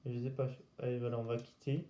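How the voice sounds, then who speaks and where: Monotone, hesitant man in echoey room. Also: medium pitch, muffled recording. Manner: hesitant, halting, searching for words. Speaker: gaming video host. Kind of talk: casual gameplay commentary. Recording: roomy echo, faint background noise.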